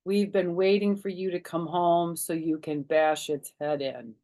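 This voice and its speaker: A woman voicing an exasperated grandmother in a children's story, with dramatic, drawn-out emphasis. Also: lowish voice, fairly even intonation, warm tone, natural voice.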